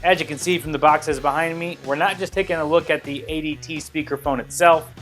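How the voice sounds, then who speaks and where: A man's voice: on the high side, steady tone, fairly quick, a bit bright, soft background music. A man, hosting a casual product review in an upbeat, conversational manner. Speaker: tech review video host.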